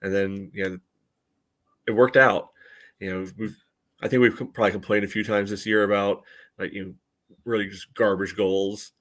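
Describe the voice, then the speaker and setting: Man, fairly low voice, soccer podcast co-host, measured, analytical, casual, frequent "you know". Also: level tone, fairly bright tone.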